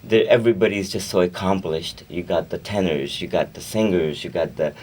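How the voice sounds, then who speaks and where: A man's voice: low voice, brisk, darkish tone, recorded from a distance. A man who speaks Urdu as his first language, chatting as a guest singer-songwriter in a radio interview, rambling, correcting himself and laughing easily.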